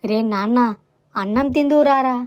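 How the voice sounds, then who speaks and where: Woman as a high-voiced, anxious village mother. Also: normal speed, darkish tone, highs cut off, soft background music, close to an everyday voice. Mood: consoling. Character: poor village mother, caring, anxious, gently scolding. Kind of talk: animated moral story dialogue.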